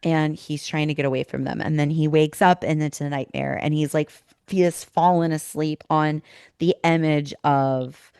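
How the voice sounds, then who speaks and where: Woman podcaster, lowish clear voice bit worn out, chatty informal recap, says like a lot. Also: steady tone, normal speed, darkish tone, no echo, clean studio sound. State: losing voice from teaching. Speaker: podcast host; school teacher. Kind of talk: casual chat and informal episode recap.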